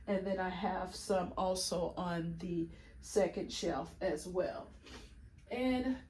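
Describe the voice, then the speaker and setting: A woman's voice: on the low side, slow, darkish tone, a little hiss or hum. A woman giving a casual plant collection tour, congested from a cold, chatty with frequent ums and restarts. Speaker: plant vlogger.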